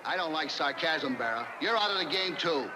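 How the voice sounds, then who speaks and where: A man's voice: on the high side, expressive, normal speed, very noisy, lightly acted. A man playing an irritable, authoritative baseball umpire who speaks in curt, stern barks in an old film comedy.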